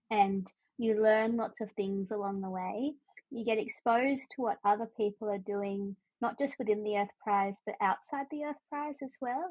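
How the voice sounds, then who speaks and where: A woman's voice: medium pitch, dry recording. A young woman from Australia with an Australian accent, a university student chatting through interview answers with frequent ums. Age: young adult. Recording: dry, tinny phone-like line.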